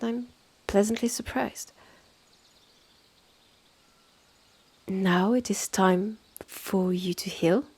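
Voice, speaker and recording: Creaky, haughty French-accented witch, acted by a woman. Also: medium pitch, a little mellow. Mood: firm, commanding. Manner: condescending, deliberate, clipped phrases with exasperated sighs. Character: stern, haughty witch innkeeper, sardonic and impatient. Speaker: roleplay audio performer. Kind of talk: scripted second-person audio roleplay, close and measured.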